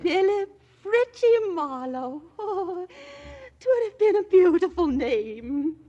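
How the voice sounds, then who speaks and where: A high, slow, gushing older clubwoman. She is dotty, dreamy and effusive, in old-time radio drama style on a dull vintage recording. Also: very animated, mellow, soft background music, heavily acted. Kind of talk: 1940s radio acting.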